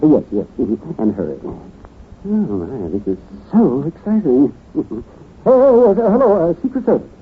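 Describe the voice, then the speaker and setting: An actor playing a fussy, excitable bookstore owner in a 1940s radio drama, fluttering and repeating himself. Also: male voice, on the high side, a little slow. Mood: excited. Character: fussy, excitable bookstore owner who adores mystery stories. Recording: tinny, hissy old radio broadcast.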